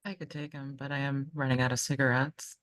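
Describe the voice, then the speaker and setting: A woman playing a guarded, quietly determined female traveller in a tabletop game, speaking in an understated, hesitant way. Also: very deep voice, flat delivery, brisk, pitched above the performer's own voice. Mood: dry.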